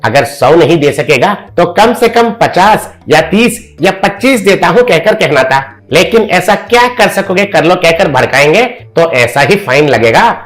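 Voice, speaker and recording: A man's voice: a little high, animated, unhurried, hardly any pauses, a bit bright. Man doing an arrogant bullying village official. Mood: threatening. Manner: arrogant, threatening.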